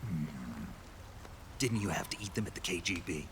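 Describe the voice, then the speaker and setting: An actor playing a gruff, terse soldier spy in a video game, with a gravelly, dry American delivery. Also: male voice, low voice, moderate pace, a bit bright. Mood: wry. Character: gruff laconic male soldier spy on a survival mission. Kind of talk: video game cutscene acting. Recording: noisy ambient background.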